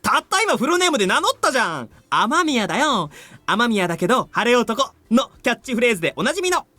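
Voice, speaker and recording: An actor playing a boastful, goofy high school boy in a visual novel, cocky and comically indignant. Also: sing-song, racing, bright, forceful tone, dry recording, full character voice. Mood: comic indignation. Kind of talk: Japanese visual novel voice acting.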